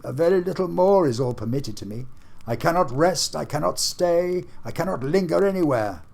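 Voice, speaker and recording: Here is a mournful, doomed spirit of a dead old businessman dragging his chains, as a man reads him aloud in a storybook. He speaks high and loud in a slow, wailing lament. His husky voice has a gravelly edge. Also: expressive. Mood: anguished.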